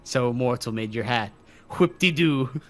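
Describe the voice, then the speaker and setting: A man's voice: medium pitch, normal speed, lightly acted. A man playing a haughty, scornful immortal vampire, dismissive and drawling, in an improvised video game roleplay. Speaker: roleplay actor. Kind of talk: improvised Minecraft supernatural roleplay. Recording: music behind the voice.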